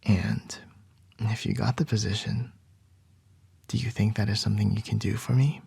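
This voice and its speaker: A man voicing a commanding male CEO boss in an ASMR roleplay, speaking in soft, measured whispers. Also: lowish voice, fairly even intonation, gravelly, husky, no room sound, heavily acted.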